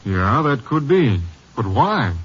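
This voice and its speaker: A man's voice: mid-range voice, sing-song, slow, a bit bright, breathy edge, heavily acted. An American man acting in a 1950 radio drama as a police captain, conversational and full of questions. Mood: curious, skeptical. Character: male police captain, folksy, questioning sounding board. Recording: dull vintage radio recording, faint noise.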